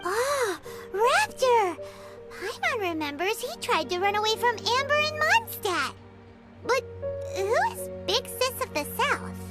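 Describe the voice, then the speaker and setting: A little childlike fairy companion from an anime game, chirpy and timid. The voice is squeakily high and slow-paced, with lively swings of pitch. Loud music plays behind. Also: female voice, heavily acted. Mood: curious, surprised. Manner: refers to self in third person, exclaiming.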